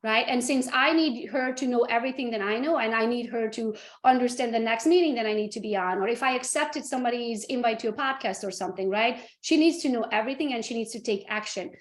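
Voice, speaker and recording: A woman's voice: on the high side, quick pace, hardly any pauses. A woman hosting a training session who keeps checking in with her audience. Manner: checks in with the audience using tag questions. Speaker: host and real estate team leader. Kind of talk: live training talk. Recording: roomy echo.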